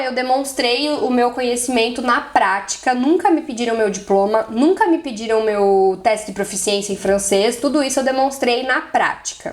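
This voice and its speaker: Young adult woman, Brazilian Portuguese accent, slightly high voice, relaxed chatty vlog delivery. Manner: chatty, strings thoughts with "e aí". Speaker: vlogger. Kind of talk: casual talk to camera.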